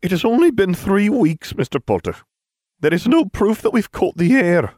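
A man's voice: high voice, sing-song, normal speed, a little mellow, dry recording, clean studio sound, pitched above the performer's own voice. A man voicing a strict older female headmistress who speaks in a clipped, exasperated way. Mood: stern.

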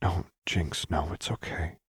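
A man's voice: low-pitched, little pitch movement, unhurried, breathy edge, dry recording, heavily acted. A caring young woman acted by a male narrator, worried and tender. She pleads and reassures with earnest concern, in a close, dry audiobook recording. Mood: reassuring.

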